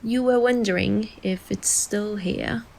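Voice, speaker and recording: An actress as a playful, curious young woman in a dreamy romantic audio drama. She teases in an unhurried way, with a creaky edge to her voice. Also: medium pitch, a little mellow, low-quality recording. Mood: playful, teasing. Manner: teasing, playful.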